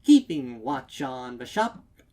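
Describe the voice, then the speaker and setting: A man's voice: mid-range voice, very animated, slow, mellow, voice pushed up. A man voicing a chirpy young female cartoon pony with forced cheer and short, clipped replies in a storybook reading.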